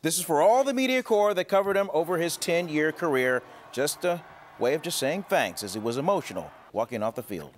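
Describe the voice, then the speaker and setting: A man's voice: a little high, expressive, brisk, a little hiss or hum. A man anchoring a television sportscast with a punchy, playful delivery. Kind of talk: lively sportscast, scripted narration. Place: TV news studio.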